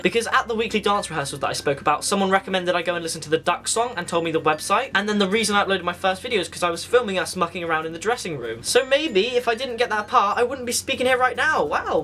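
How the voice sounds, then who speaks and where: A man's voice: high-pitched, pushed and bright, a little hiss or hum. A teenage young man, chatting casually and enthusiastically as he tells anecdotes for a vlog. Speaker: vlogger.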